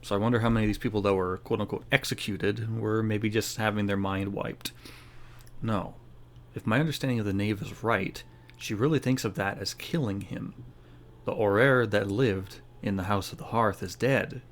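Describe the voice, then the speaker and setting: Guy doing a game playthrough, kinda low voice, thinking out loud, reflective commentary. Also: fairly even intonation, normal speed. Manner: musing, thinking aloud. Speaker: gaming streamer.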